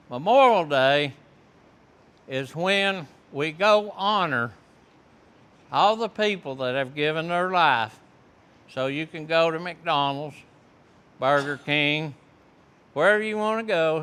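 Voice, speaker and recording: A man, a veteran telling his story to a high school class in a plain, folksy, unhurried way. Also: a bit bright, clear, resonant tone. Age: 70s. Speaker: veteran guest speaker. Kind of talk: classroom talk to students.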